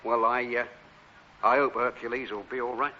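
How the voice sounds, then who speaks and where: An actor playing an awkward, kindly neighbour from the rag-and-bone trade, with a London accent, in a British sitcom. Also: male voice, mid-range voice, fairly even intonation, normal speed, highs cut off, a little hiss or hum, close to an everyday voice. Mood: reassuring.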